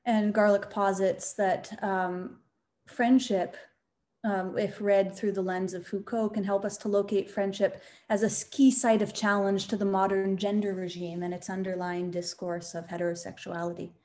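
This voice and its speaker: A woman presenting an academic talk on a video call, measured and quoting philosophers. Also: medium pitch, little pitch movement, warm tone. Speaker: conference panelist.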